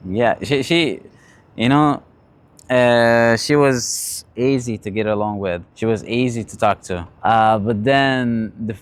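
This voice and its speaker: Male, late teens, lowish pitch. Casual confessional vlogger, halting delivery with repeated phrases. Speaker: vlogger sharing personal stories and advice. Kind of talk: casual confessional talk, storytelling.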